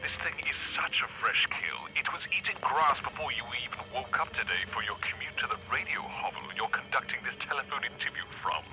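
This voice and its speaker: An actor playing a smug, callous, ultra-rich tycoon who speaks in a pompous, condescending, theatrical way, as if interviewed on satirical radio. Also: male voice, medium pitch, animated, a little fast, few pauses, bright, forceful tone, low-quality recording. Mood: haughty, boastful. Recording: music behind the voice.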